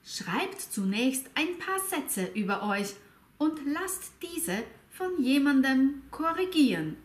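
Woman in her 50s giving a german lesson, high-ish voice, echoey room. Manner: lively, teacherly, slow and over-articulated. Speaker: German teacher. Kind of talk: slow, clear language lesson. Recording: roomy echo.

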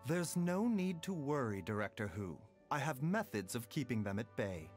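A man voicing a calm, courteous male doctor in a video game, measured and formal, with music behind. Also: medium pitch, close to an everyday voice.

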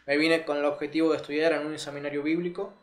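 A man's voice: a little high, flat delivery, fast. A man, earnestly recounting his own story as an interview guest, with many fillers and restarts. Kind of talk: personal testimony in an interview. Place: living room with curtains, seated in armchair. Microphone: lapel mic.

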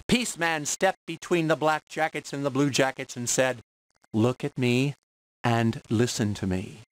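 A man reads a children's storybook aloud. His pace is very slow and measured, with a mid-range pitch. The delivery is clearly scripted, pitched at young children. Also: a bit bright, low-quality recording. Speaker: storybook narrator.

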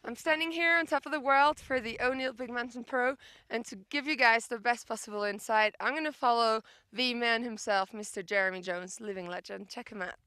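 A woman's voice: fairly high pitch, fairly quick, pushed and bright, clean tone, no echo. A young woman presenting a snowboard show with playful banter, seated outdoors at a snowy resort. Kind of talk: casual chat, presenting.

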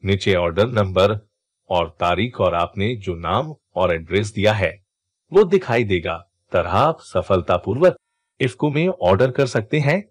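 Man, tutorial host, instructive click-by-click narration, lively pitch, husky tone. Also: unhurried, no echo, clean studio sound. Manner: instructive, explains each click. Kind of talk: step-by-step screen tutorial narration. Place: voice-over for a screen recording.

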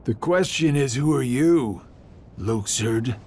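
An actor playing a sly, cocky middle-aged villain in a video game, with a sarcastic, evasive drawl. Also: male voice, mid-range voice, lively intonation, takes it slowly, darkish tone, a little hiss or hum. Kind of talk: cinematic video game voice acting.

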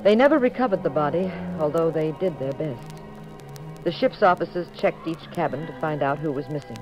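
An actress playing a witty, affectionate detective's wife in a mid-century radio mystery, speaking with wry poise. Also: female voice, sits low, expressive, normal speed, low-quality recording. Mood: wry narration. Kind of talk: mid-century radio drama acting and narration. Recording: old radio recording with music.

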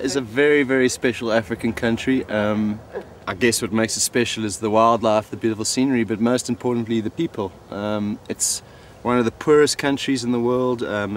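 A man calmly explaining the country in an interview, with hesitant fillers. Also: lowish voice, a little hiss or hum. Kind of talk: documentary interview.

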